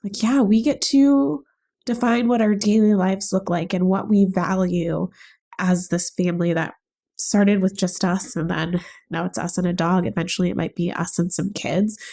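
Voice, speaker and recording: A young woman with a stuffy nose from a summer cold, hosting a reflective solo podcast in an earnest, gentle way. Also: lowish voice, fairly even intonation, moderate pace. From United States. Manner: earnest, gentle, thinking aloud. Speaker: podcast host.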